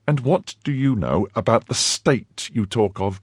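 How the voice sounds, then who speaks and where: Guy putting on a fiery young wife, husky but pitched way up, swooping all over, dry close sound. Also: animated, a little slow, no room sound. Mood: scornful. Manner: scornful, pleading and scolding by turns. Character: young woman, indignant, fiercely loyal wife.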